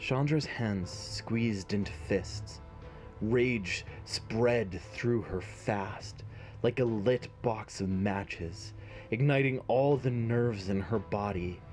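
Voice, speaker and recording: A man narrating a scripted fantasy story in a measured, dramatic way. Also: on the low side, a little mellow, soft background music.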